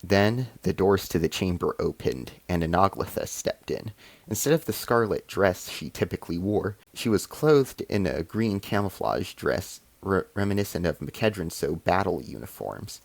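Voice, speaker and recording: A man's voice: sits low, expressive, normal speed, gravelly, dry recording. A man reading a science fiction short story as scripted narration.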